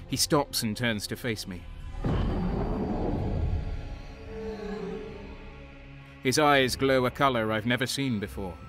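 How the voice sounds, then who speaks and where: An actor playing a haunted, horror-struck soldier who narrates his own nightmare in an intense, halting, theatrical audio drama style. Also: on the low side, close to an everyday voice. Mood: awed dread.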